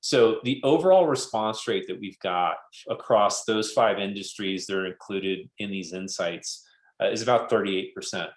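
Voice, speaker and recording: A man's voice: fairly low pitch, moderate pace. A man giving an informal business presentation, explaining things step by step and often restating himself. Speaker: webinar presenter. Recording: roomy, echoey sound.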